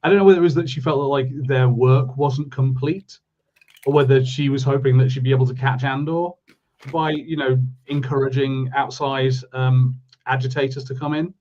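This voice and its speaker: A man's voice: mid-range voice, fairly even intonation, moderate pace, darkish tone, muffled recording. A man co-hosting a podcast, talking through a review casually in a rambling, questioning way. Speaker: podcast co-host.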